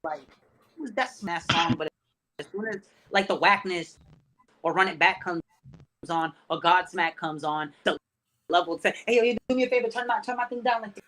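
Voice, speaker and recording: A man's voice: high-pitched, moderate pace, bright, forceful tone, slight background noise. A man, a rapper guest on a podcast, talking casually in loose street slang.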